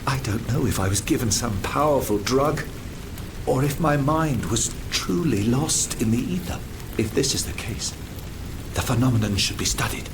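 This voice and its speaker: A man playing a troubled, earnest French gentleman scientist in a video game, formal and theatrical. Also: medium pitch, lively intonation, moderate pace, noisy background, close to an everyday voice. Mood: haunted, confused. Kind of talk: dramatic video game cutscene acting.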